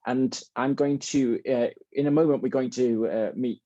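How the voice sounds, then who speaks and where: A man with a soft-edged, mellow voice moderates a student video call. They speak informally and hesitantly, rewording as they go. The sound is dry and slightly dull. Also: medium pitch, fairly even intonation, moderate pace, no echo. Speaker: host and moderator of a student symposium.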